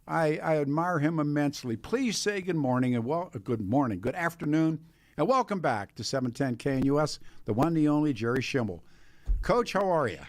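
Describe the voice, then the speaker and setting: An American man in his eighties hosts a radio talk show with a fairly high voice for a man. He chats casually and effusively, joking and rambling as he interviews his guest. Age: 80s.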